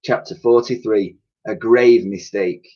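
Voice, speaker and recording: A man reading a children's story aloud in an animated, expressive storytelling style. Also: lowish voice, measured pace, dull audio.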